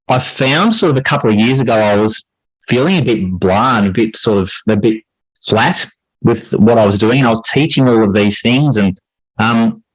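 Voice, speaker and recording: A middle-aged man speaks as an interview guest in a fairly low voice. He explains things reflectively and conversationally, restating phrases to stress them. The sound is very dry, with hardly any room on it. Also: slightly rough, no room sound, low-quality recording. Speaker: interview guest, high school English teacher.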